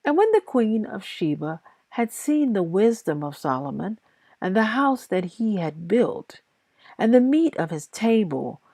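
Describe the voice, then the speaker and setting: A woman who sounds a little tired, reading scripture aloud in measured, deliberate short phrases as the host of a daily reading. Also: mid-range voice, big pitch swings, darkish tone, no room sound. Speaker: Bible reader and host of a daily reading series. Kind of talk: scripture read aloud with brief casual introduction.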